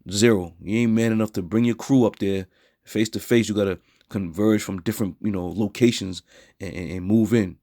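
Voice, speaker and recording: A hoarse man teaching scripture in a blunt, scornful way, with a frequent "all right?". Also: fairly low pitch, fairly even intonation, normal speed, dark tone, no echo, clean studio sound. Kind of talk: street preaching scripture lesson.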